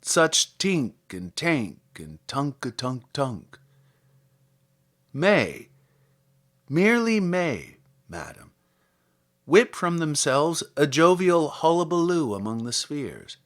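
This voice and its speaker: A man reading scripted literary material aloud as a podcast host, in a measured, articulate, theatrical manner. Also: fairly high pitch, big pitch swings. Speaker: podcast host and poetry reader. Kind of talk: scripted literary introduction and poetry recitation.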